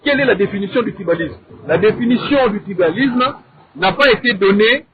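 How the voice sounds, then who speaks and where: A man with a Congolese accent debating in a measured, didactic way, in short deliberate phrases and with rhetorical questions. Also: sits high, animated, a little hiss or hum. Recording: thin, phone-like narrow sound.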